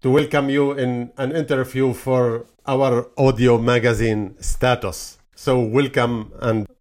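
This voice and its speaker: Host: mid-pitched man, formal and deliberate, reads the introduction carefully. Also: flat delivery, a little mellow. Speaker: interview host. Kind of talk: formal introduction and interview questions.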